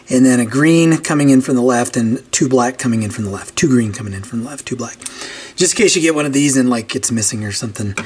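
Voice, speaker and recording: A man giving a casual hands-on repair walkthrough, informally thinking aloud as he works. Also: medium pitch. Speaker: host of a repair channel. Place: indoors at a cluttered workbench. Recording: steady clear background noise with clicks.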